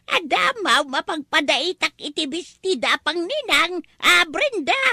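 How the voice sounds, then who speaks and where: A woman's voice: very high-pitched, lively intonation, unhurried, vocal fry, sharp tone, no room sound, clean studio sound, heavily acted. A woman with an Ilocano accent playing a teasing village gossip in a comedy-drama. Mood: teasing, gossipy.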